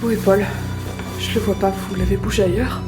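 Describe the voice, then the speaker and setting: A woman's voice: medium pitch, fairly even intonation, brisk, lightly acted. A woman playing an anxious, defensive young survivor in an audio drama, blunt and stammering. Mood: worried, urgent. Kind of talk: French audio drama acting. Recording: roomy echo, music behind.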